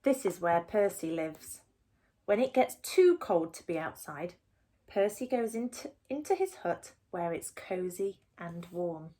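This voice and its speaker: A woman reading a picture book aloud for young children in an expressive, deliberate storytelling way. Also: on the low side. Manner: animated, deliberate, with asides to the listeners.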